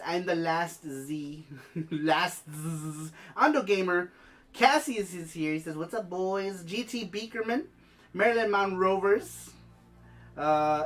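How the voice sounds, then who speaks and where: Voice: mid-range voice, expressive, a little slow. A livestream host with a head cold and congested, stuffy-nosed sound, chatting casually and reading out viewer comments. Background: background music.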